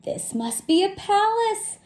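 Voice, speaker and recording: A woman's voice: extremely high pitch, very animated, slow, a little mellow, pitched above the performer's own voice, exaggerated voice. A woman voicing a small, wistful teddy bear full of wonder and earnestness in a children's storybook. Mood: awed. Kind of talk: children's storybook read-aloud.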